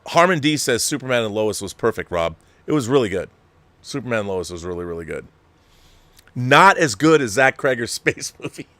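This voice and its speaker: A man's voice: medium pitch, a bit bright, no echo. American man in his fifties, dry close sound. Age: 50s. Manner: emphatic, insistent, repeats phrases for effect. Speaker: online show host and film producer. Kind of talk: casual rambling livestream chat about films.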